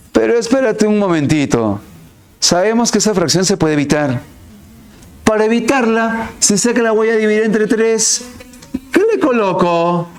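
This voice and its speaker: A man teaching math in an online class, walking through each step and asking his students questions along the way. His voice sits high and jumps up and down to stress key words. He takes his time. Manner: explains step by step with frequent rhetorical questions; lively, swings his pitch to stress words.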